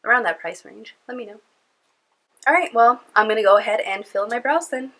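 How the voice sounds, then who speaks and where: Young woman, nasal and congested, bright voice, chatty rambling vlog, at home on camera mic. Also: on the high side, a little fast. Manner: chatty, rambling, lots of fillers. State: congested from a cold. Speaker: beauty vlogger. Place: at home indoors.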